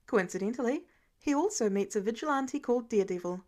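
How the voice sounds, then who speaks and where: A woman with a fairly high voice narrates fan fiction. She reads from a script in an expressive storytelling style, as a podfic reader does. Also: fast.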